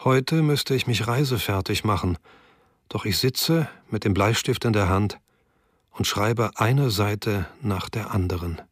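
A man voicing an elderly historian and archivist in a radio drama, reading his wartime diary in a solemn, measured way. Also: lowish voice, no room sound, clean studio sound.

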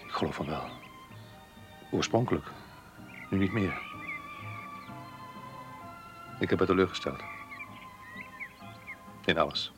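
Troubled middle-aged lover in a radio play, lowish voice, measured and earnest, music around. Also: male voice. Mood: regretful, confessing. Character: married man in love, earnest, troubled, romantic. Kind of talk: radio drama dialogue.

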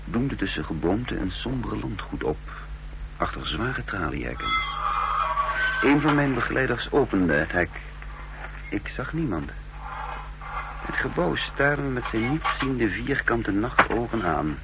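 A low male voice a bewildered fugitive who has lost his memory. He is brooding and halting, in the manner of suspenseful radio drama, on a slightly noisy, humming old recording. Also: vocal fry, heavily acted. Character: bewildered amnesiac man, escaped condemned prisoner, telling his story. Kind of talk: radio drama acting, brooding first-person narration.

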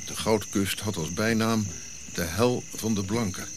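An actor playing a reflective Ashanti prince who recounts his childhood in measured radio drama narration. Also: on the low side, noisy background, close to an everyday voice.

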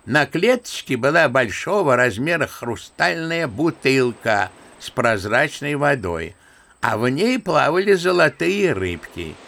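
An actor playing an old general and grandfather, telling his memories in an unhurried, bookish, old-fashioned way in a radio drama. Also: medium pitch, sing-song, creaky, fairly bright tone, full character voice. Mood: reminiscing. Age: older. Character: old general and grandfather, unhurried naive storyteller, good-natured. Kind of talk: Russian radio drama acting.

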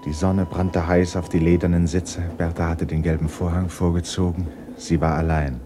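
A man narrating a literary radio drama in a solemn, measured storytelling manner. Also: low-pitched, flat delivery, background noise.

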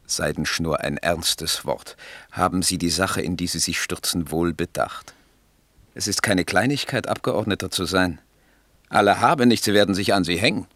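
An actor playing a wily, grumbling campaign adviser with a clipped, argumentative manner in a 1960s German radio satire. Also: male voice, low voice, normal speed, a bit bright, no room sound. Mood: cautioning.

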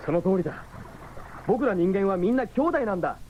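Young sci-fi hero, earnest, impulsive, swinging pitch, dull old radio recording. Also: male voice, animated, fairly quick, a little hiss or hum. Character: earnest, agitated young male cyborg hero. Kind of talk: 1970s anime-style radio drama acting.

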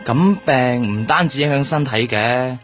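An actor playing a reassuring, casually teasing doctor in a Cantonese radio drama, with a husky voice and a Hong Kong accent. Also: male voice, mid-range voice, unhurried, fairly bright tone, faint music behind, close to an everyday voice. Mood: reassuring, attentive.

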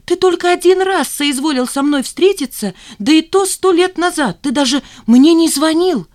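A woman's voice: medium pitch, moderate pace, darkish tone, no room sound, clean studio sound, voice pushed up, natural voice. A woman voicing a hurt, indignant woman in her thirties, reproachful and emphatic, as audiobook dialogue.

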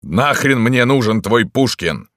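A man's voice: low-pitched, expressive, moderate pace, fairly bright tone, no room sound, clean studio sound. A man voicing a cocky, flippant fellow with boyish eagerness in an audiobook. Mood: indignant.

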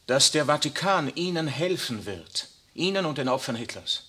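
A man acting in a 1960s radio play as an earnest young Jesuit priest sent from the Vatican, speaking calmly and reassuringly. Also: fairly high pitch, normal speed, husky, exaggerated voice. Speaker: radio drama actor.

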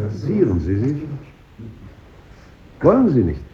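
An actor playing a pompous, ironic grammar-school teacher who lectures his class in measured rhetoric, in 1950s radio drama style. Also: mid-range voice, exaggerated voice. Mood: mildly mocking. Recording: muffled old radio recording, echoey room.

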